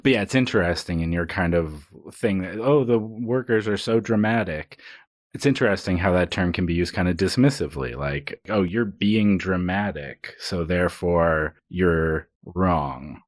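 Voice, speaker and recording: A man's voice: deep voice, moderate pace, no room sound, clean studio sound. A man co-hosting a podcast with casual comedic banter in a playful, mock-earnest way. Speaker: podcast co-host.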